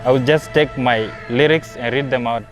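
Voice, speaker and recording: A young man from South Sudan telling his story in a documentary interview. He is reflective and grows animated when he talks about performing. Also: medium pitch, moderate pace, music in the background. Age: young adult.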